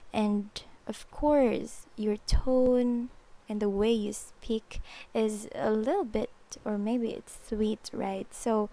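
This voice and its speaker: Lady teaching a lesson real slow, kinda high voice, repeats stuff patiently. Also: a little mellow. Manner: patient, repeats example phrases slowly. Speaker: language teacher. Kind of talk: slow explanatory language lesson.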